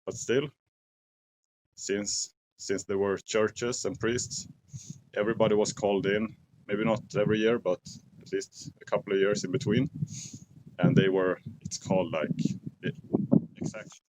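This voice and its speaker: A man in his forties with a Swedish accent, talking slowly and thoughtfully to camera outdoors in a forest at dusk, pausing to search for English words. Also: fairly low pitch, steady tone, background noise. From Sweden. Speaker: outdoor vlogger. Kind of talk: casual talk to camera.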